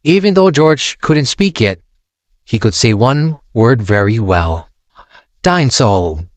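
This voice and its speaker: Expressive man reading a children's story. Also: medium pitch, measured pace, no room sound. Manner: expressive, sing-song storytelling. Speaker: storybook narrator. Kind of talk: children's read-aloud.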